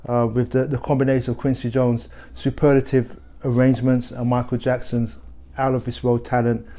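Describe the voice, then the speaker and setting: A man's voice: mid-range voice, dark tone. A middle-aged man hosting a record shop's video series, talking casually about favourite albums with frequent restarts and fillers. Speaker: record shop host. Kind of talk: casual music recommendations to camera.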